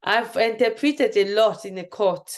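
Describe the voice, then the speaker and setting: A woman's voice: mid-range voice, measured pace. Effusive woman host from Cameroon. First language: French. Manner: warm and effusive, with frequent restarts and fillers. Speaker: show host. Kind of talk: casual interview chat.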